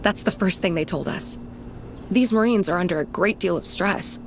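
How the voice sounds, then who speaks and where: A woman's voice: medium pitch, moderate pace, a little hiss or hum, natural voice, poor audio. A woman playing a polite, attentive clinical psychologist in a video game. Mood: friendly, concerned. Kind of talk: video game cutscene acting.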